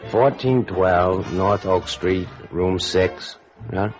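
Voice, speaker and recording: An actor playing a jittery small-time crook and informant in a 1950s radio noir, slangy, stammering and evasive. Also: male voice, deep voice, very slow, soft background music. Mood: nervous, scheming. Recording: dull old radio recording.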